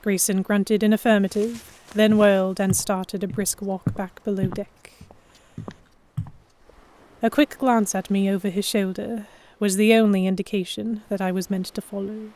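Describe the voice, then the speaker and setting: A woman narrating a first-person historical romance story with careful, expressive, scripted delivery. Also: medium pitch, normal speed, a little hiss or hum. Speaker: narrator and lead heroine.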